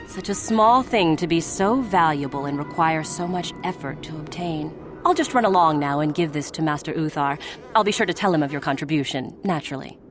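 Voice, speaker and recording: An actress playing a haughty, treacherous young Sith student in a video game, with a curt, condescending manner. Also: female voice, low voice, animated, a little fast, music in the background. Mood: smug, impatient. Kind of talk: video game villain acting.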